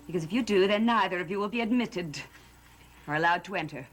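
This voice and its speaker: A woman's voice: on the low side, fast, a little hiss or hum, close to an everyday voice, rough recording. A woman playing a cold, commanding witch. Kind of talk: 1960s soap opera acting. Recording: old TV soundtrack with music. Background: music.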